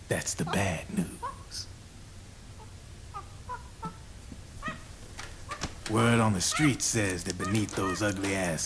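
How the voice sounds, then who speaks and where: An American actor playing a slick, talkative arms dealer in a video game cutscene, with streetwise, theatrical, drawn-out phrasing. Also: male voice, sits low, noisy background. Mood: teasing. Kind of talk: video game cutscene acting, explanatory monologue. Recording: loud music over the voice.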